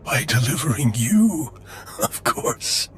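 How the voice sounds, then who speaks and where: An actor playing a smug, menacing anarchist leader in a video game, with a grandiose, sardonic delivery. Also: male voice, mid-range voice, big pitch swings, takes it slowly, slight background noise. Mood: smug menace. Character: theatrical, disappointed male anarchist cell leader, smug and menacing.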